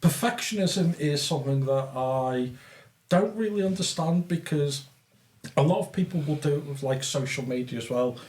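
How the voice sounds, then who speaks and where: A man's voice: on the high side, moderate pace, roomy sound. A middle-aged man with a Scouse accent, co-hosting a casual podcast chat, laughing often and swearing freely. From Liverpool, England. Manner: laughs easily, swears, animated storytelling. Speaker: podcast co-host.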